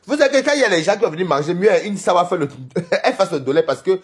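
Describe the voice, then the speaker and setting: Man, Cameroonian French accent, high voice, very lively swooping pitch, rambling gossipy livestream chat. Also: rapid-fire. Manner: rambling, animated, gossipy, laughs often. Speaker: livestream host. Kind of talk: live-stream chat with viewers.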